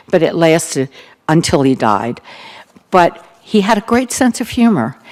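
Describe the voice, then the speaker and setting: An older woman with a Baltimore accent, the keynote speaker, recounting her family's past in an earnest, reflective talk with gentle humor. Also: fairly low pitch. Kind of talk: personal testimony talk.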